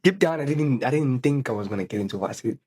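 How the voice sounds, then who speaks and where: A young man from South Africa with a South African accent, co-hosting a casual podcast chat, halting with many restarts and slang. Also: medium pitch, animated, darkish tone, slightly rough. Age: young adult. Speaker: podcast co-host.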